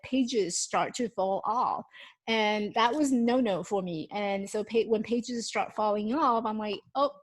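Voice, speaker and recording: A woman in her thirties whose first language is Mandarin Chinese, talking casually in English to her channel's viewers, with frequent ums and restarts as she shows her notebooks. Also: a little high. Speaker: YouTube host. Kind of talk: casual vlog talk.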